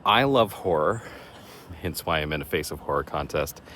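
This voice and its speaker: A man vlogging casually to camera outdoors, chatty and self-deprecating, with many fillers and a slightly raspy voice. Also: extremely low pitch, expressive, moderate pace, fairly bright tone. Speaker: horror contest vlogger. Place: outdoors in woods. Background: faint leaf blower and vehicle noise.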